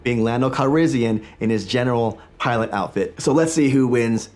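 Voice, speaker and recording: Slightly raspy man chatting to camera. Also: mid-range voice, moderate pace, darkish tone. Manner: enthusiastic, chatty, talks with his hands. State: recovering from illness. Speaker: toy collecting channel host. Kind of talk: casual vlog talk to camera. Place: small home studio with toy shelves.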